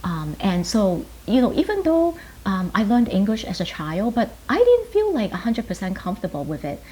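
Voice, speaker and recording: A woman in her fifties from Hong Kong, a native Chinese speaker, reflecting on her life in an earnest, halting way in short phrases. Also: medium pitch, very animated, dark tone, poor audio. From Hong Kong, later New York. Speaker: pharmacist and writer being interviewed. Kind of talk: reflective personal interview answers. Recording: hissy background noise.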